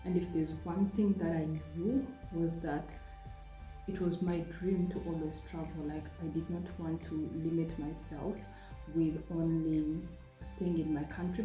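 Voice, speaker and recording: A woman's voice: low-pitched, mellow. Chatty young woman from Uganda, echoey room. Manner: chatty, rambling, friendly. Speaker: vlogger. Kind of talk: casual vlog talk. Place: apartment room. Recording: echoey room, faint music.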